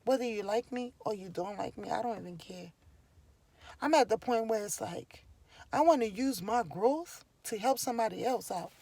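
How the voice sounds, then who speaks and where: A woman's voice: mid-range voice, normal speed, dark tone, no room sound. A woman from Liberia with a Liberian accent, telling her own story to camera in an emphatic, repetitive, preaching way. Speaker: vlogger. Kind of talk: casual personal storytelling to camera.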